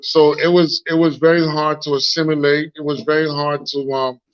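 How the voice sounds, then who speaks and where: Guest, man in his 40s, Haitian accent, frank and reflective, high-ish voice, heard over muffled phone audio. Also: flat delivery, moderate pace, clean tone. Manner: frank and reflective, repeats words while searching for them. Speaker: guest, founder. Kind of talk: casual live interview.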